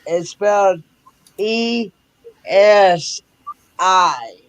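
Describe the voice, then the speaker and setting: Halting high-voiced man with slow speech. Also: fairly bright tone, clean tone, dry recording, low-quality recording. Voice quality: halting, full of uh and um. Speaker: guest on a livestream chat. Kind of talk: casual conversation.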